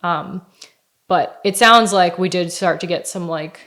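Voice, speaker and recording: A woman's voice: fairly low pitch, measured pace, fairly bright tone. A woman co-hosting a podcast in casual chat, talking in a chatty way with lots of "like.". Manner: chatty, uses like a lot. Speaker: podcast co-host.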